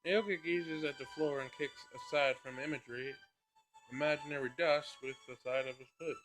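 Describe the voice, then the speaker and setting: A man with a fairly high voice narrates a story aloud over faint music. His reading is unrehearsed, and he sometimes trips on a phrase and says it again. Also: fairly even intonation, moderate pace, rough recording. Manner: stumbles and re-reads phrases while narrating. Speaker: player reading a visual novel aloud.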